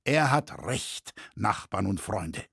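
A man's voice: lowish voice, expressive, slow pace, vocal fry, a bit bright, husky, dry recording, clean studio sound, heavily acted. A man voicing a hearty, bluntly eager guildsman in an audiobook. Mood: admiring. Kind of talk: audiobook character acting.

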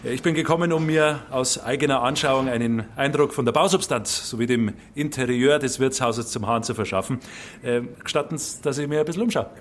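A man's voice: medium pitch, brisk, slight background noise, close to an everyday voice. A man playing a pompous, fussy heritage official who talks in stilted, hesitant, bookish officialese in a drama. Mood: formal.

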